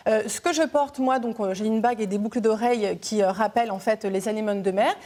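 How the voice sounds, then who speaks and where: A woman's voice: mid-range voice, a little fast, warm tone. A woman presenting on a TV studio show, explaining things in a chatty way.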